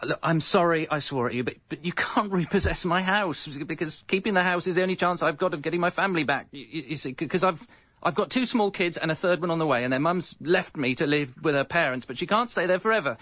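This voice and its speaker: An actor playing a hapless, self-pitying separated dad in a radio comedy, rueful and wry as if talking to himself. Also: male voice, on the high side, fast talker, bright, forceful tone, dry recording, dull audio. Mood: desperate pleading. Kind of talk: radio comedy monologue and dialogue.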